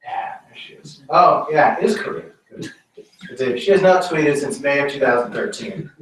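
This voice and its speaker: A man's voice: fairly high pitch, moderate pace, recorded from a distance. A man giving a casual talk at a tech meetup, rambling and joking with a lot of "like". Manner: chatty, rambling, lots of "like". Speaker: meetup speaker. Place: meeting room. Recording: roomy echo, mic from afar, faint noise.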